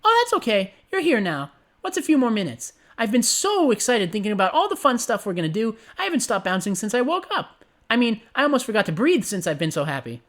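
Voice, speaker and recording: A woman doing a squeaky, giddy cartoon pony girl. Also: very animated, fairly quick. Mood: gleeful. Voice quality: squeaky and bubbly. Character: giddy hyper female cartoon pony, menacing sweetness.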